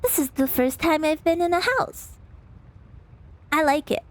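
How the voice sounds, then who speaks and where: Cute visual-novel monster girl done by a woman, naive and eager, squeaky-high, wobbly, swooping pitch. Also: animated, a little slow. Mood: innocent.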